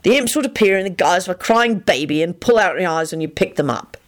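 A woman's voice: lowish voice, animated, fast talker, lightly acted. A woman voicing a bookish, earnest young man in a story, easily exasperated, by turns weary and pleading. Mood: snappish.

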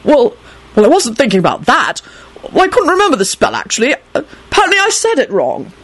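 Lady doing a dithery balding wizard dad from a storybook, high pitched, swoops all over when he panics. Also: lively intonation, a little slow. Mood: nervous. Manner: dithering, easily panicked, frantic when pressed. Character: nervous, flustered middle-aged balding British wizard father.

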